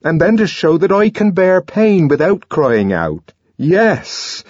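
A man's voice: mid-range voice, sing-song, slow pace, breathy edge, dry recording, muffled recording, pitched above the performer's own voice, exaggerated voice. A man voicing an earnest, emotional young man who speaks in an intense, trembling way. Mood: impassioned.

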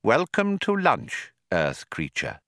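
Tiny, bossy, high-pitched mouse. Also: male voice, wildly swooping pitch, takes it slowly, fairly bright tone, dry recording, studio quality, heavily acted. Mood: polite greeting. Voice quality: small high voice. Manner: curt, firm, commanding.

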